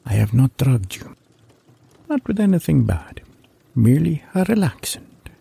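A man voicing a gentle, solemn old woman fortune teller who speaks slowly and gravely. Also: mid-range voice, sing-song, voice pushed up, exaggerated voice. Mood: soothing. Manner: slow, hushed and grave.

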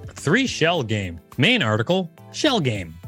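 Man, podcast co-host, article read-aloud, casual banter, average pitch, faint music behind. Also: wildly swooping pitch, slow, fairly bright tone.

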